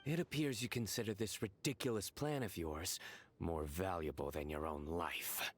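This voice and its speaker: Man playing a stern, low-voiced enforcer. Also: slight background noise, close to an everyday voice. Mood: cold, menacing. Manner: measured, curt, deadpan. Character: stern, cold male law enforcer, calmly menacing. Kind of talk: video game voice acting.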